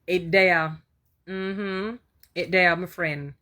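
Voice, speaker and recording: Jamaican woman, lowish voice, casual host of a live stream, warm and rambling. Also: steady tone, very slow. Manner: warm, chatty, repeats herself, reads and answers viewer comments. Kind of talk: casual inspirational live talk.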